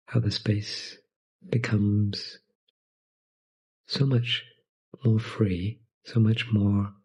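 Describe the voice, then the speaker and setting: Very slow, breathy low-voiced man guiding meditation. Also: low-quality recording. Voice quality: soothing, soft, breathy. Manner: gentle, unhurried, with long pauses between short phrases. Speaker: meditation guide. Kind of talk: soft guided meditation.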